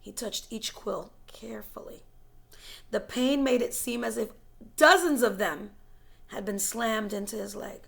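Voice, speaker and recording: A woman reading a story aloud in a dramatic, suspenseful way, moving between hushed whispers and forceful, louder phrases. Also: medium pitch, measured pace, creaky. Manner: suspenseful and expressive, dropping to whispers and rising to loud, forceful phrases. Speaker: teacher reading a book chapter aloud.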